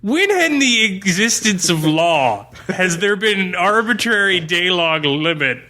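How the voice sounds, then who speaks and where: A man's voice: high voice, unhurried. A man chatting casually as a game master guest on a podcast, telling things in a rambling, sweary way. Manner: rambling, animated retelling with swearing. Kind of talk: casual chat, campaign post-mortem.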